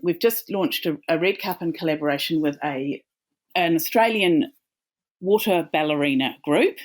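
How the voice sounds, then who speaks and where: A woman's voice: sits low, normal speed. A woman with a New Zealand accent, a company founder talking as a guest in a casual, friendly interview, with small restarts.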